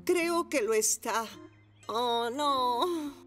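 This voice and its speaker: A woman's voice: high voice, drawn-out words, a bit bright, soft background music, exaggerated voice. A woman voicing a kindly, flustered adult schoolteacher motorbike in a children's cartoon, with a hesitant manner. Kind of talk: animated children's cartoon dub.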